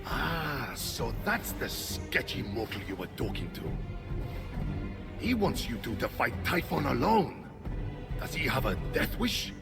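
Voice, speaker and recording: An actor playing a blustering, theatrical war god trapped in a chicken's body, in an animated video game. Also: male voice, mid-range voice, measured pace, creaky, music in the background. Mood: wry. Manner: theatrical, pompous, self-dramatizing. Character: war god stuck as a chicken, blustering, dramatic, easily flustered.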